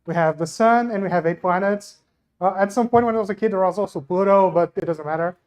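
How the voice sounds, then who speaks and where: A man's voice: sits high, fast, a little mellow, smooth. A young man with a Brazilian accent and native Portuguese gives an informal, self-deprecating science talk into a microphone at a live event. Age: young adult. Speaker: astronomy researcher giving a talk. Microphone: handheld microphone.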